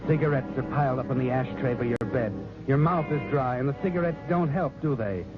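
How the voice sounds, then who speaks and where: A man's voice: medium pitch, a little fast, natural voice. Casting: male actor as insinuating suspense narrator, 1940s radio thriller, heavy music behind, dull vintage sound. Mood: ominous. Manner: second-person, insinuating, suspenseful. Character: ominous male narrator needling the guilty hero. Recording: muffled old radio recording with music.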